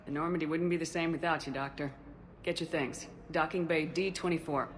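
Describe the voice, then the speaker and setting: A woman's voice: deep voice, steady tone, brisk, slight background noise, close to an everyday voice. A woman playing a composed, direct military commander in video game dialogue. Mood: firm.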